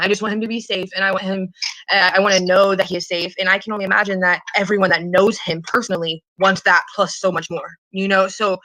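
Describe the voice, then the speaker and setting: A woman's voice: mid-range voice, bright, forceful tone, low-quality recording. A woman in a casual, earnest phone conversation, rambling and hesitant, often repeating herself and swearing casually. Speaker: caller in a private phone call.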